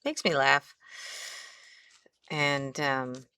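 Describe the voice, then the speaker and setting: A woman's voice: a bass voice, fairly even intonation, drawn-out words, creaky, background noise. A middle-aged woman with a Midwestern accent, chatting as she demonstrates a craft, rambling and trailing off mid-sentence. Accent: Midwestern American. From American Midwest. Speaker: craft instructor. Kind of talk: casual crafting tutorial.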